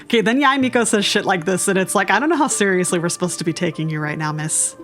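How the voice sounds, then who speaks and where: Woman streamer: medium-pitched, casual, exclamatory and sarcastic game commentary, faint music behind. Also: animated, fast talker, a bit bright.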